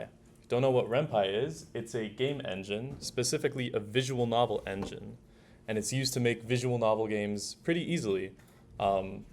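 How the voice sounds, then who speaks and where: Brief: young male club instructor, lowish voice, informal step-by-step tutorial, slight background noise. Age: young adult. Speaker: student club workshop instructor. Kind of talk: casual software tutorial.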